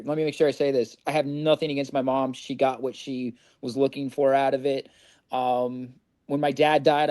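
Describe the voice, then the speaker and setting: A man's voice: mid-range voice, mellow, no echo. A middle-aged man from Indiana, a podcast guest telling his recovery story in a candid, rambling way and swearing freely.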